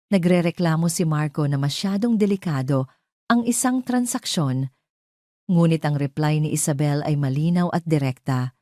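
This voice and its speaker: Female Filipino narrator for dramatic audio fiction: low-pitched, solemn, measured delivery, dry studio-tight sound. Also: dark tone, no echo. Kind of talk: dramatic scripted story narration.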